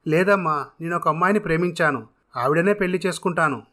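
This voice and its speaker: A man's voice: a little high, fast talker, sharp tone. A man voicing a frank, confident young son talking with his mother in a dramatised story. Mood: assertive.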